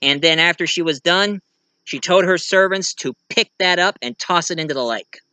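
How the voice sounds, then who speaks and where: A man's voice: fairly high pitch, moderate pace, sharp tone, smooth, dry recording. A man talking casually as a video creator, telling about his own writing in a rambling, enthusiastic way. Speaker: video creator and amateur writer. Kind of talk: casual vlog talk.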